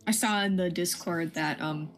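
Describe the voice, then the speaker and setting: A woman's voice: lowish voice, moderate pace, background noise. A woman co-hosting a livestream in casual chat, telling little stories and acting out reactions as she goes. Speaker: livestream co-host.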